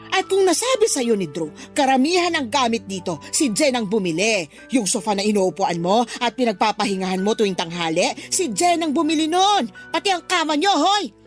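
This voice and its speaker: A woman playing a spiteful, possessive older mother in a Filipino radio drama, taunting and accusing. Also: a little high.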